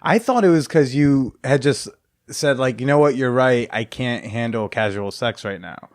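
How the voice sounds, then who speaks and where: A man hosting a podcast, relaxed and playful in casual interview chat. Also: medium pitch, moderate pace, no room sound, studio quality. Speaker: podcast host.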